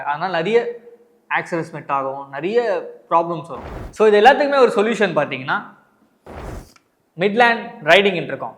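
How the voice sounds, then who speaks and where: A man's voice: a little high, fairly bright tone. A man with an Indian Tamil accent presenting an unboxing and product review, explaining directly to viewers and mixing in English words.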